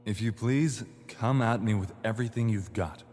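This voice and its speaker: A man's voice: on the low side, a little mellow, close to an everyday voice. Guy acting a polite formal evaluator dude in his 20s, audio drama, big echoey reverb, bit of hum. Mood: composed, formal. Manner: formal, measured, polite wording. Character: formal, composed male evaluator in his late twenties with portal powers. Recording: long hall reverb, noisy hum.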